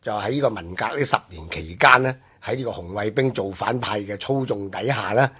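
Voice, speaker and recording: Husky low-voiced Hong Kong Cantonese man. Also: fairly quick, pushed and bright. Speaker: radio co-host. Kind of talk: conversational history commentary.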